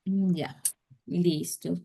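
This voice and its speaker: A woman's voice: fairly low pitch, fairly even intonation, very slow, creaky, dark tone. A woman teaching an online class, talking conversationally with many fillers and tag questions. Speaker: course instructor.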